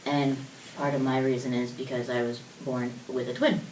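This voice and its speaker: Low-voiced young woman, casual and laughing. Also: little pitch movement, moderate pace, darkish tone, distant mic. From born in South Korea, raised in the United States. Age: 20s. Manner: laughing, casual, loose and talkative. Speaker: guest. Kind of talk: interview answers. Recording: roomy echo, voice from off mic, faint noise.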